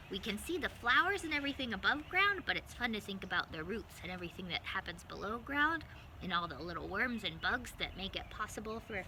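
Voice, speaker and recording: A woman hosting story time for young children, reading aloud in an animated, encouraging way with simple phrasing. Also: mid-range voice, a little hiss or hum, low-quality recording.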